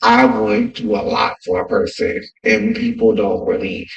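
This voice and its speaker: Man guest, gravelly, emphatic, repeats himself for effect, lively pitch, sits away from the mic. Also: measured pace, highs cut off. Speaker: podcast guest. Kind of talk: casual chat. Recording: distant from the mic.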